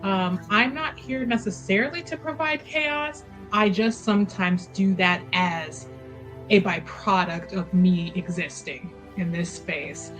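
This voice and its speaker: A woman's voice: medium pitch, measured pace, a bit bright, rough recording. A woman with a mid-range voice chats as a tabletop player, wry and joking with her group. Light music runs underneath her. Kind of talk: casual chat introducing her character.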